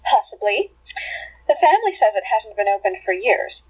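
A woman's voice: medium pitch, big pitch swings, normal speed, breathy edge, phone-quality sound. A woman playing a polite, effusive estate sale hostess in a radio play, eager to please. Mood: polite, helpful.

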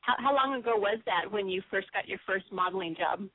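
A woman's voice: medium pitch, fairly quick, breathy edge, no echo, narrow, tinny audio. A woman hosting a conversational radio interview show.

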